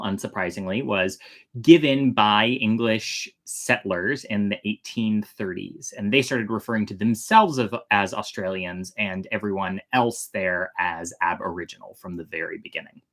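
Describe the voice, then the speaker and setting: Casual, chatty storyteller with a mid-range voice. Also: mid-range voice. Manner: informal, chatty, hedging with "kind of" and "like". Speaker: host and storyteller. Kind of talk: casual explanatory chat to viewers, reading from notes.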